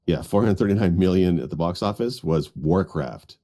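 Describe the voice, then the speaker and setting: A man's voice: sits low, lively intonation, normal speed, warm tone. A man co-hosting a podcast in a casual group conversation. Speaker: podcast co-host.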